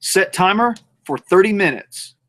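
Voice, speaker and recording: Man, slightly high voice, loose and jokey, talks while cooking outside at the grill. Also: lively intonation, unhurried, a bit bright. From North Georgia, USA. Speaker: cooking show host. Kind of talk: casual cooking vlog. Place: outdoors at a grill in the mountains.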